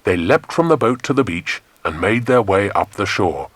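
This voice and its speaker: A man's voice: lowish voice, animated, normal speed, slightly rough, no echo. A British man narrating a dramatised children's adventure audiobook.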